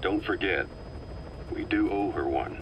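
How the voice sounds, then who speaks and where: An actor playing a calm, calculating middle-aged military officer in a video game, speaking with measured, persuasive assurance. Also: male voice, sits low, highs cut off, noisy background. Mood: assured, reasoning. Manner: measured, assured, reasoning patiently. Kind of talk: dramatic game voice acting.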